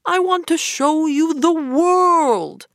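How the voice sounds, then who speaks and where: A woman voicing a boastful, excitable male toad in a storybook reading, gushing and showy. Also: extremely high pitch, slow pace, no room sound, clean studio sound, pitched above the performer's own voice, full character voice. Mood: excited boasting.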